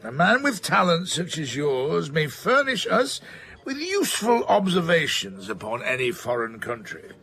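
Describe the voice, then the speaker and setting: A man's voice: fairly high pitch, wildly swooping pitch, measured pace, sharp tone, full character voice. An actor playing a blunt, avuncular older man of letters in a radio drama, pronouncing his views emphatically and sententiously. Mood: sage, firm. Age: middle-aged.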